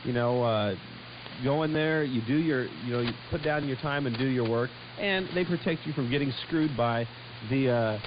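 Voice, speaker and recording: A man's voice: medium pitch, normal speed, highs cut off, background noise. A man co-hosting a casual radio talk show, rambling and stumbling over his words, on a shortwave broadcast. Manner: rambling, hesitant with frequent stumbles.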